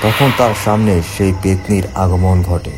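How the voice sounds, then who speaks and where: A man's voice: low voice, fairly even intonation, measured pace, loud music behind the voice. A man reading scripted narration for a horror story.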